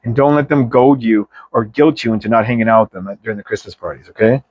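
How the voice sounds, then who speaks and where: A man's voice: on the low side, steady tone, fast, dry recording, highs cut off. A man reads tarot for his viewers in an informal, chatty style, giving an emphatic pep talk with slang and mild swearing. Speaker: tarot reader.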